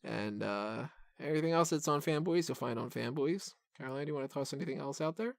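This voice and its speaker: A man's voice: medium pitch, fast talker, creaky, no echo. Rambling male podcast host, dry close mic. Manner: rambling, self-deprecating. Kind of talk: casual film review podcast chat.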